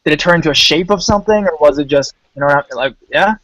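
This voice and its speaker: A man's voice: a little high, fast talker, no room sound, highs cut off. Slangy casual male host, dry sound. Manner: relaxed and slangy, calls people bro. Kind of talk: casual voice-chat hosting.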